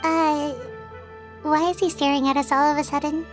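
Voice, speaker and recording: Woman as childlike fairy companion, tiny squeaky very high voice, excitable, hesitant, game dub, very loud music behind. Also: normal speed, close to an everyday voice. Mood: sheepish. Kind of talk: dubbed video game dialogue.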